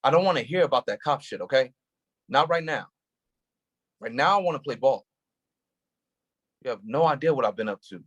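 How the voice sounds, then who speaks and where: An actor playing a hurt, defensive male police officer in a staged play reading, touchy and quick to snap back. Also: mid-range voice, animated, a bit bright.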